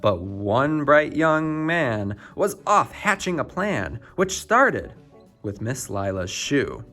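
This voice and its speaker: A man reading a rhyming children's storybook aloud in an expressive, theatrical storytelling style. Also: mid-range voice, takes it slowly, soft background music. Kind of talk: scripted rhyming picture-book narration.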